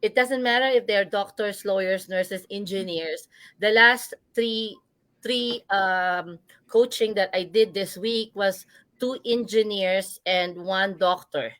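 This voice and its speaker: Bright-voiced Filipino woman, earnest and chatty. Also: mid-range voice, slow, dry recording. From Philippines. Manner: earnest, chatty, often ends with "right?". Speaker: guest, business coach. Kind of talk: live interview, personal story.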